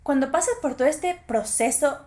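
A woman in her late twenties with an Ecuadorian Spanish accent, hosting a podcast and telling personal stories in a chatty, animated way that speaks straight to listeners. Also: high voice, moderate pace. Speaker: podcast host. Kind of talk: personal storytelling monologue.

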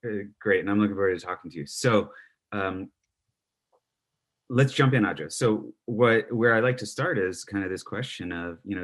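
A man hosts a relaxed interview in a somewhat low voice. He rambles through his questions with plenty of fillers and restarts, his volume often dropping away at the ends of thoughts. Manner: rambling, many fillers and restarts, trails off quietly. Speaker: podcast host. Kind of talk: conversational interview.

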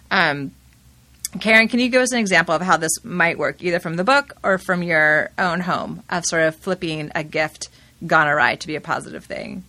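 A woman hosting a casual studio discussion, talking loudly and animatedly and laughing often. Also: lowish voice, dry recording. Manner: loud, animated, quick to laugh. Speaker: host. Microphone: studio microphone.

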